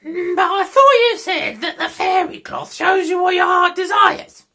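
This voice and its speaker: A man reading a children's story, voicing a small, excitable, nosy character who blurts out questions. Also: very high voice, measured pace, gravelly, voice pushed up, full character voice. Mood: baffled, challenging.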